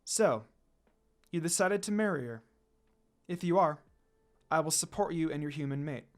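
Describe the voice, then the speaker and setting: A man voicing a stately demon noblewoman in a story, a formal, questioning mother who softens. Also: on the high side, expressive, normal speed, close to an everyday voice. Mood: approving, kindly. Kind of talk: storybook character reading.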